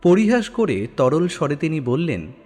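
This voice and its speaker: A male narrator reads a scripted story with a highly varied, animated pitch. His voice trails long reverb, with music underneath. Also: moderate pace.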